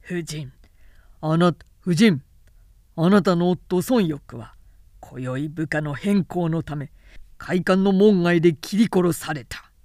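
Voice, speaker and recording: A woman's voice: lowish voice, takes it slowly, mellow, no echo, clean studio sound. A woman reading a storybook role as a scheming male military commander, commanding and condescending, with false sympathy. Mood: patronizing, imposing. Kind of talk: dramatic literary audiobook reading.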